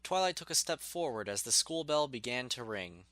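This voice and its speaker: A man's voice: mid-range voice, big pitch swings, normal speed, pushed and bright, no room sound. A man narrating a scripted story expressively, in the manner of an audiobook reader.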